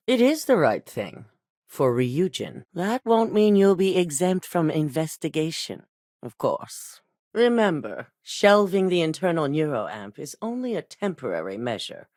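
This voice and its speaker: A cool, calculating corporate executive speaks in measured, businesslike tones for a video game. Her voice sits a little low but moves through a lively range of pitch. The studio sound is close and dry. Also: no room sound. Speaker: game character.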